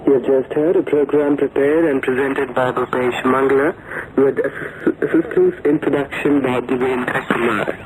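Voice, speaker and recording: A man's voice: mid-range voice, fairly even intonation, normal speed. A man with an Indian accent making formal scripted continuity announcements as a radio announcer over shortwave. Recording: noisy 1980s shortwave radio recording.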